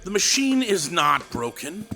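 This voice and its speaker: An actor playing a domineering, theatrical radio director who speaks with sarcastic command in an audio drama. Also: male voice, high-pitched, very animated, unhurried, vocal fry, sharp tone, soft background music, heavily acted. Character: grandiose, domineering male radio director, mid-career.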